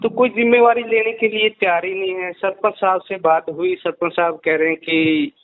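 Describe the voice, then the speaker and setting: A man's voice: high voice, fairly even intonation, moderate pace, no echo. A man being interviewed over the phone, explaining a case earnestly and repeating his key points. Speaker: rationalist society president being interviewed by phone. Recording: muffled radio-like phone call recording.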